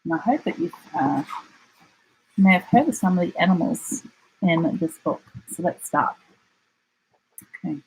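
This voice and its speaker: A woman with an Australian accent reads a children's picture book aloud, expressively and with deliberate dramatic emphasis. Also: lowish voice, lively intonation, a little hiss or hum, poor audio. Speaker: storyteller.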